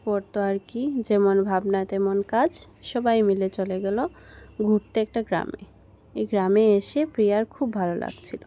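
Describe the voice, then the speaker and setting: A woman narrates a scripted cartoon story. Her pitch is mid-range for a woman, and her tone is warm and relaxed. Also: measured pace.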